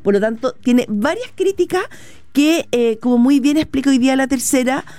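A woman's voice: high voice, moderate pace, dark tone, no room sound. A Chilean woman co-hosting a news talk show, explaining stories at length with frequent fillers. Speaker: news co-host. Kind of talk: radio news chat.

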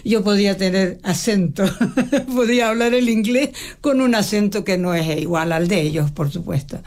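An older woman, a stage actress, answering interview questions in a reflective, halting way with frequent restarts. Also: mid-range voice, darkish tone. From Mexico. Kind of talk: conversational interview.

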